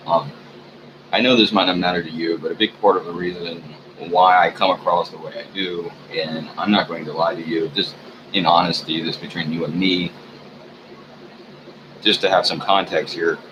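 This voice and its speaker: A man talking alone at home in a meandering personal vlog, full of ums and trailing thoughts, in a sleepy, low-key way. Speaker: vlogger. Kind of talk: rambling personal monologue. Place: room at home. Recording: muffled, noisy home recording.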